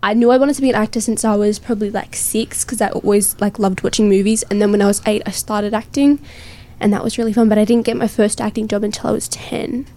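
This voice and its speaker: A woman's voice: mid-range voice, fairly even intonation, fast, mellow. A thirteen-year-old girl with an Australian accent, chatting casually as an interview guest. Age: 13, young teenager. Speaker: young actor and filmmaker guest. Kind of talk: casual chat.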